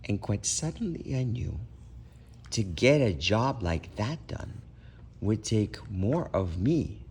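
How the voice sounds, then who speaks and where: Animated man reading a children's storybook. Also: on the low side, slow pace, breathy edge. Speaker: parent reading aloud for children. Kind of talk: expressive children's storybook read-aloud.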